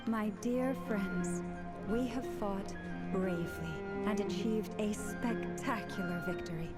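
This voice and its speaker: Actress as a gracious, measured healer over loud music. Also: female voice, medium pitch, lightly acted. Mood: solemn, proud. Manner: measured, formal, articulate. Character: gracious, caring, businesslike woman healer and leader. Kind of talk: scripted game voice acting.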